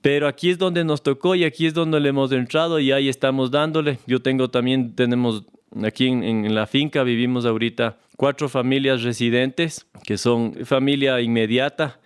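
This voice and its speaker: A man from Ecuador with an Ecuadorian Spanish accent, a farmer talking as a guest in a relaxed, unhurried way, often restarting and repeating words. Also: mid-range voice, clean tone. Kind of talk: relaxed conversational storytelling. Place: farm, recorded on location.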